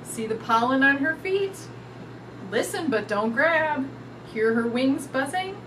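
A middle-aged woman reading a picture book aloud to young children in an animated, sing-song way. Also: sits high, a little slow. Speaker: storytime reader. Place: indoors in front of a curtain backdrop. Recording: distant mic with clear background noise.

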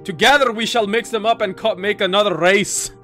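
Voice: mid-range voice, brisk, fairly bright tone, soft background music, natural voice. A let's player voicing a grumpy, absent-minded old male game wizard, pompous and blustering, lapsing into hesitant mutters. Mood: fussy.

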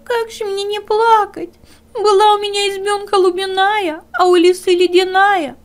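A woman's voice: very high voice, little pitch movement, moderate pace, voice pushed up. A woman voicing a small, pitiful young male hare in a plaintive, whimpering storybook voice. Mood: plaintive, tearful.

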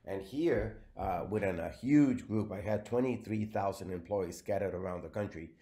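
Low-voiced man lecturing. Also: dark tone. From Venezuela. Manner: measured, with frequent "uh" fillers. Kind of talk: recorded slide lecture.

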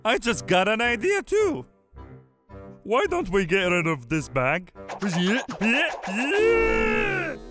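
A man's voice: extremely high pitch, huge pitch swings, slow, pauses often, music in the background, heavily acted. An actor voicing a chubby, food-loving, easygoing man in a cartoon. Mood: eager.

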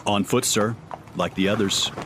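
A man's voice: fairly low pitch, fairly even intonation, normal speed, natural voice. A man playing a dutiful, doubtful soldier reporting to his superior in an amateur audio drama, deferential in manner. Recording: clearly noisy background ambience.

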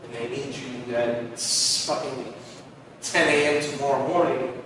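A man's voice: medium pitch, fairly even intonation, a little slow. Laid-back male podcast host, echoey studio. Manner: laid-back, swears casually. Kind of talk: casual interview chat. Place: podcast studio with graffiti wall. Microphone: headset mic seen, far camera. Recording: echoey room, distant, noisy.

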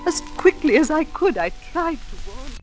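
High-voiced storytelling housekeeper of radio drama. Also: female voice, very animated, normal speed, faint music behind, poor audio. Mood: sombre storytelling. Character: Ellen, female housekeeper narrating a sombre tale from memory. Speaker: narrator. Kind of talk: 1940s radio drama narration.

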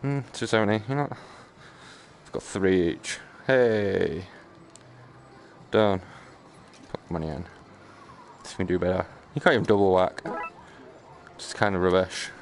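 A man's voice: low voice, unhurried, long pauses. A British man giving casual live gaming commentary, grumbling sarcastically with mild swearing over background noise. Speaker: gaming streamer.

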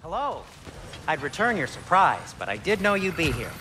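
An actor voicing a fussy, chatty dwarf smith with an odd sense of humor. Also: male voice, a little high, sing-song, moderate pace, crowd noise, poor audio.

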